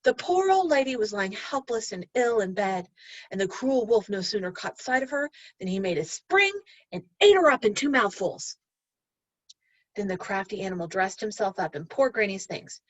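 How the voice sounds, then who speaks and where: A woman's voice: medium pitch, no echo, muffled recording. A woman reading a children's story aloud expressively, like a teacher addressing pupils.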